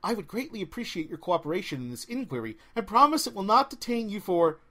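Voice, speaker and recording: A man's voice: high-pitched, big pitch swings, fast talker, bright, forceful tone, full character voice. A man voicing a polite, literal-minded girl in an audiobook, with formal and precise phrasing. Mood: polite, reassuring. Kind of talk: audiobook character voice.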